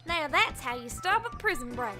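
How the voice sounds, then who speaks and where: A woman voicing a folksy, blunt young farm mare in an animated parody. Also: sits high, normal speed. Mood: bossy. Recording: loud music behind the voice.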